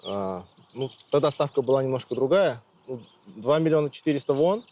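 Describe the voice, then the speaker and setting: Male interview guest from Russia, rapid speech, soft dark tone, casual and conversational. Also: medium pitch, animated, a little hiss or hum. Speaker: interview guest, migrant worker.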